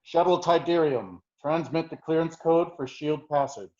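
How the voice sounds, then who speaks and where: A man's voice: a little high, normal speed, a little mellow, lightly acted. A man playing a formal, clipped Imperial traffic controller in a script table read. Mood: officious. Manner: formal, official. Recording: roomy, dull lo-fi call audio.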